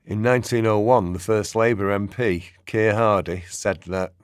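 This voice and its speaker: Low-pitched male documentary narrator. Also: fairly even intonation, moderate pace, clear, resonant tone, no echo, clean studio sound. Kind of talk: scripted documentary narration in radio play.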